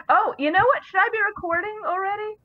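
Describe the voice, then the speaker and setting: A woman's voice: very high-pitched, expressive, a little fast, over a noisy crowd. A woman hosting a casual group stream, chatting with friends over an online voice call. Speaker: host of a live group stream. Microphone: computer mic in online group call. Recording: dull, lo-fi voice chat audio.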